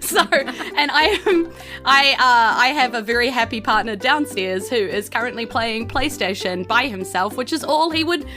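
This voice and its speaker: A woman's voice: a little high, normal speed. A woman with a New Zealand accent hosting a tabletop game podcast, chatty and playful as she narrates. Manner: playful, animated, giggly. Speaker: game master and podcast host. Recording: music behind the voice.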